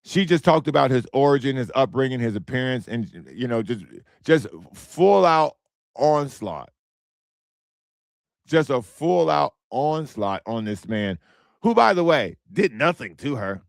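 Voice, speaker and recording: An American man hosts his own podcast, talking bluntly and going over the same points twice. His voice is hoarse and strained, with a gravelly rasp. The sound is dry and close. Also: mid-range voice, a little slow, dry recording. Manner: animated, blunt, repeats himself. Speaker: podcast host. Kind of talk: casual solo commentary.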